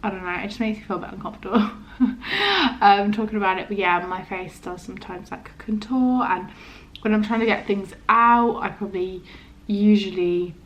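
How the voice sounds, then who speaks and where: Young woman, mid-pitched voice, stammer, casual vlog chat, hesitant, halting, trailing off. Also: low-quality recording. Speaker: vlogger.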